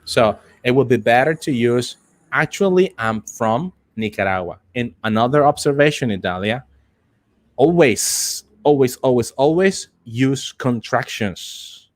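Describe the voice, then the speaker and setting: A man teaching a live online English lesson, prompting students and repeating key phrases with slow, deliberate emphasis. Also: mid-range voice, expressive. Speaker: English teacher for call center trainees.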